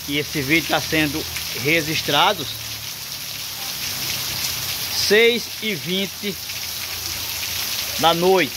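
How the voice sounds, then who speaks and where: Man vlogging from a porch on his phone, higher voice, heavy rain drowning the mic. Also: heavy background noise. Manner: chatty, addresses viewers often. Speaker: vlogger filming the weather. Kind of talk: casual on-the-spot narration. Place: covered porch outdoors in heavy rain at night. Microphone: phone camera held by the speaker. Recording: loud pouring rain over voice.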